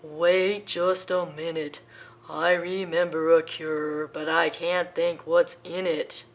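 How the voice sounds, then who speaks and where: A woman doing a slow, wise elephant. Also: deep voice, flat delivery. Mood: deliberate. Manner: slow, deliberate and reassuring. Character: wise, steady male elephant.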